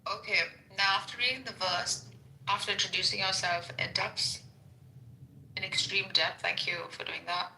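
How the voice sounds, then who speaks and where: A woman probes with questions in a casual debate, at times sarcastic. Her voice is very bright and tense. There is a roomy echo, with faint noise behind her. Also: mid-range voice, fairly even intonation, normal speed.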